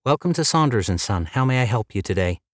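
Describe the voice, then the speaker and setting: A man's voice: medium pitch, animated, quick pace, dry recording, studio quality, voice pushed up, close to an everyday voice. A man putting on a chirpy young receptionist woman. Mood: polite. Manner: chirpy, courteous, apologetic but unbending. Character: polite young female office receptionist, firm.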